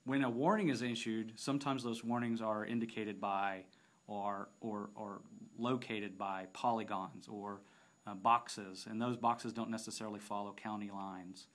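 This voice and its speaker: A man narrating an unscripted software demonstration, explaining step by step with halting phrasing and repeated words. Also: lowish voice, steady tone. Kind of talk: unscripted app tutorial narration.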